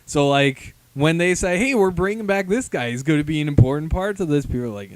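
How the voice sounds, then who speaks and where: A young adult man on a review panel, chatting casually and explaining his points in a measured, wry way. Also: fairly high pitch, expressive, clear, resonant tone, dry recording. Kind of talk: casual group review chat.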